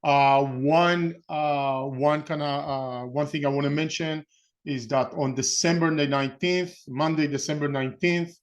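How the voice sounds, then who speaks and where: Middle-aged Israeli man presenting, slightly high-pitched voice. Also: fairly even intonation. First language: Hebrew. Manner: halting, rambling, often rephrasing mid-sentence. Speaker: webinar host and real estate investment coach. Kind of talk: informal webinar presentation.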